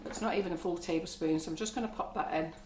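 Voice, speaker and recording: An older woman teaching a baking class from her kitchen, chatty and relaxed in a soothing teaching manner. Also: lowish voice, fast. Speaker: cake decorating teacher. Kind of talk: live baking class demonstration. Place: home kitchen. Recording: echoey kitchen, faint noise.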